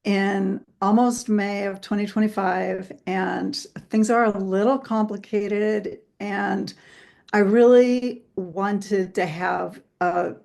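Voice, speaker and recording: Gravelly-voiced woman hosting a webinar. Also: medium pitch, fairly even intonation, a little mellow. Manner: friendly, chatty, with frequent "um" pauses. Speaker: host and therapist. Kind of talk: casual webinar introduction.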